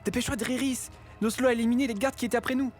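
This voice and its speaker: A man's voice: extremely high pitch, fairly even intonation, racing. A man playing an anxious, caring young adventurer, hesitant and earnest, in an audio drama. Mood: urgent. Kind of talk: French audio drama acting. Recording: music behind the voice.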